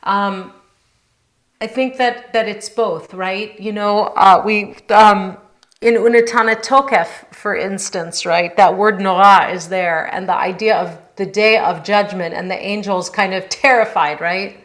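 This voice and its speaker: A woman's voice: medium pitch, fairly even intonation, fairly bright tone. Woman teacher talking in an echoey room. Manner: thoughtful, halting, checks with "right?". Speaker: yoga teacher. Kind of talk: informal question-and-answer chat after class. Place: indoor room on a group video call. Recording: roomy, echoing video call sound.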